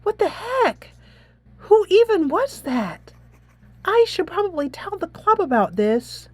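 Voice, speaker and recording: A woman voicing a sweet, anxious high school girl in a video game, hesitant and eager to please. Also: very animated, takes it slowly, darkish tone, dry recording, heavily acted. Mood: startled, worried. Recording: music playing behind.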